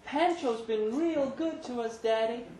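A man's voice: extremely high pitch, unhurried, darkish tone, pitched above the performer's own voice. A man voicing a young daughter, childlike and plaintive, in a live story reading. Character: young daughter coaxed into needling her daddy. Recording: echoey pub room, background noise.

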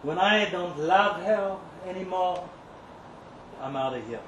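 A man's voice: high-pitched, animated, takes it slowly. Roomy noisy live recording, man performing a bitter lovesick young worker, sardonic, brooding, dramatic storytelling. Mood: bitter, yearning. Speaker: reader at a live literary event. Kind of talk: dramatic first-person short story reading. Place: indoor live reading venue. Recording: roomy live event recording, clear background noise.

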